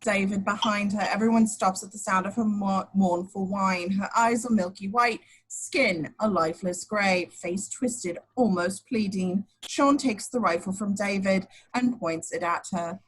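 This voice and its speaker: A woman's voice: mid-range voice, measured pace, hardly any pauses, slightly rough, rough recording. A woman reading scripted action description as narrator in an amateur table read of a screenplay.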